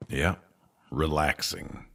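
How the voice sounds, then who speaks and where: An actor playing a weary, bitter, irritable man in a sci-fi audio drama, with a rough, muttering delivery. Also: very deep voice, fairly even intonation, slow pace, a bit bright, a little hiss or hum, full character voice. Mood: casual, flustered. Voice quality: gravelly, rough.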